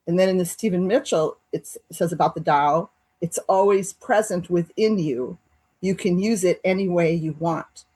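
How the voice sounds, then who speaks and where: Woman, lowish voice, husky, reflective, reading passages. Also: a little mellow, dry recording. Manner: thoughtful, reads passages aloud, slow pauses. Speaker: podcast co-host. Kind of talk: reflective recovery group talk.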